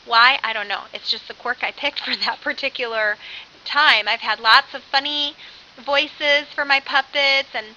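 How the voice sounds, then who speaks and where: A woman teaching an early childhood course in a conversational, animated way, as an instructor explaining with examples. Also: sits high, unhurried. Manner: animated, with acted-out example phrases. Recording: dull lo-fi audio with faint hiss.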